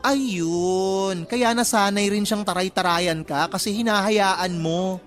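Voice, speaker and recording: A man's voice: high voice, slow pace, fairly bright tone. Over background music comes a flamboyant young Filipino college guy. He is sassy and cheeky, with campy, teasing delivery in a radio drama style. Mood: teasing, catty. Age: young adult. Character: flamboyant, cheeky effeminate male college student. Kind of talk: Tagalog radio drama acting.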